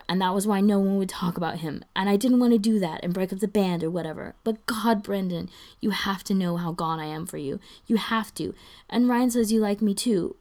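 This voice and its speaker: Woman as young male musician, lovestruck, earnest, blurting, raised creaky voice, storybook dialogue. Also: fairly high pitch, mellow, voice pushed up, natural voice.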